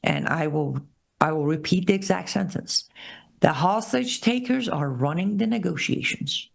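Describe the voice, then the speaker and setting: A woman with an Iranian accent hosting a political commentary show, speaking emphatically and repeating key phrases slowly. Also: lowish voice, lively intonation, gravelly. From Iran. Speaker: show host.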